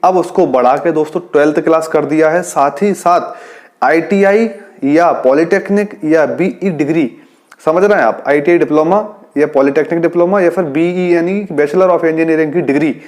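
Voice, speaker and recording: A man's voice: fairly high pitch, darkish tone. A man speaks as an online exam guide. He explains things informally and instructively, going back over key points so listeners can follow. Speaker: police radio branch employee presenting an exam-guidance channel. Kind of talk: informal explainer addressed to job aspirants.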